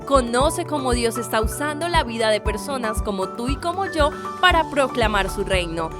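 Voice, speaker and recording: High-voiced woman announcing over loud music. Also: expressive, moderate pace. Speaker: intro announcer. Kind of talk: scripted podcast intro.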